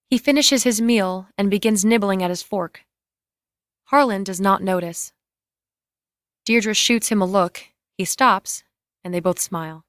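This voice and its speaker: Female narrator for a dramatic script reading, delivering scene directions, medium pitch, dry studio-like sound. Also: dry recording.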